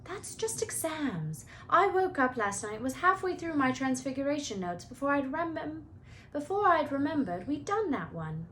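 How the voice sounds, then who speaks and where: Know-it-all schoolgirl woman reader, studious, brisk and matter-of-fact, lifted pitch, storybook style, faint noise. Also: very animated, a bit bright. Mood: dismissive.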